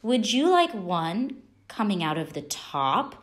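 A patient mother in a children's storybook, voiced by a woman reader. She sounds puzzled yet matter-of-fact, questioning with an animated, varied melody. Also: mid-range voice, takes it slowly. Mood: asking patiently.

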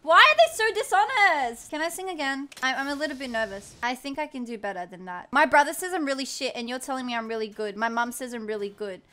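A young Australian woman streaming a game from her home room into a boom mic, teasing a player with dramatic whispers, squeals and off-key singing. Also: animated, quick pace, hardly any pauses, sharp tone. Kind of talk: casual gaming chat with playful singing. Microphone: studio mic on a boom arm.

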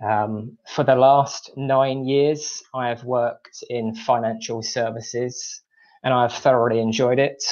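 A man in his thirties with an English accent, speaking from home on a video call, giving a measured personal account with frequent ums as a webinar guest. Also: mid-range voice, fairly even intonation, a little mellow. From England. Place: home room, indoors. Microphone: video call, earphones. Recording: slightly dull video call audio.

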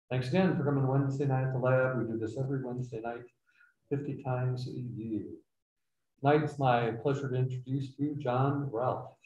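A man's voice: mid-range voice, mellow. A man, hosting a science night and interviewing the guest in a jovial, teasing way. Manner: jovial, teasing, laughs often. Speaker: host. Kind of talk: event introduction and interview. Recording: echoey room sound.

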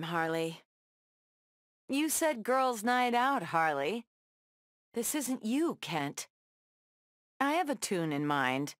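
An actress playing a tough, sardonic superheroine brawler in a video game, tossing out sassy, taunting one-liners. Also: female voice, lowish voice, fairly bright tone, dry recording, clean studio sound. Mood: stern, reproachful. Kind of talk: video game fight banter.

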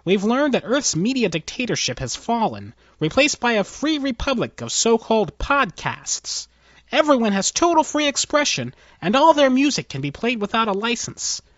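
A man's voice: very high voice, big pitch swings, normal speed, fairly bright tone, no echo, muffled recording, pitched above the performer's own voice, full character voice. A man voicing a nervous, deferential alien chief technologist in a science fiction audio story, speaking hesitantly. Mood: nervous, explaining.

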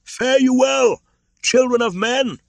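A bold young jungle boy of a storybook, acted by a grown man. He is commanding and scornful. The voice is pitched up and thrown out loud, like someone used to calling wolves. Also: slow pace, creaky, no echo, exaggerated voice. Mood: scornful shouting. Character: Mowgli, bold defiant boy raised by wolves.

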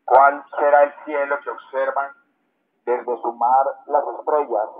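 A man's voice: medium pitch, steady tone, unhurried. Tinny-sounding man reciting poetry. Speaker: poet reading in public. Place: outdoor public park. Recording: thin, band-limited megaphone sound outdoors.

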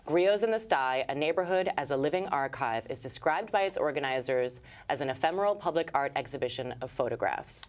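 A woman in her thirties with a low voice co-hosts a studio show, reading her intros in a measured, clear way. She speaks on a lapel mic in the studio. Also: animated. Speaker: TV co-host. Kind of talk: scripted segment intro and interviewing. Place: TV studio, indoors.

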